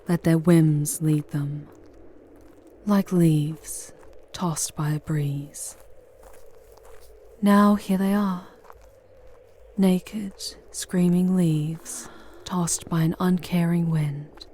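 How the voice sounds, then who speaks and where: A seductive demon temptress, acted by a woman in an ASMR roleplay, speaking in a low, mellow voice. Her delivery is very slow, theatrical and breathy, often slipping into a whisper close to the microphone. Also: slight background noise. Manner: theatrical, formal, drawn-out phrasing. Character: charming, seductive female demon guide through hell. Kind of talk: intimate ASMR roleplay, scripted monologue.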